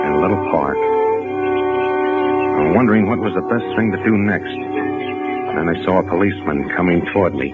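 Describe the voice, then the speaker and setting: Man acting wistful middle-aged clerk, deep voice, halting, old radio drama, muffled recording. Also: close to an everyday voice. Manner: halting, hesitant, repeats himself. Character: meek, weary middle-aged male bank messenger, wistful and disillusioned.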